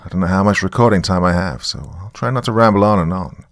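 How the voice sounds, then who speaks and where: A man's voice: low-pitched, fast, no room sound, studio quality. A man voicing a weary, sardonic small-town man, rambling wryly through a taped confession in a horror story. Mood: uneasy, resigned. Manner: rambling, wry, colloquial. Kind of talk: dramatic monologue as a taped confession.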